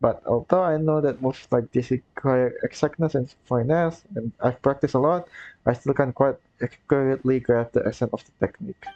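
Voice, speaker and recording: An earnest, polite young knight-maid, read aloud by a man in his own plain voice, tripping over a word now and then. His tone is mellow and dark. The recording sounds dull and lo-fi. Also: mid-range voice, moderate pace, no room sound, lightly acted. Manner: plain reading aloud with stumbles.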